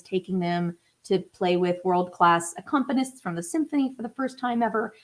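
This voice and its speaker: A woman in her thirties, from the Atlanta area, chatting casually on a live stream as a musician talking with her viewers, rambling with lots of fillers. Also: medium pitch. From United States (Atlanta area). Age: 30s. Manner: chatty and rambling, many fillers, laughs often.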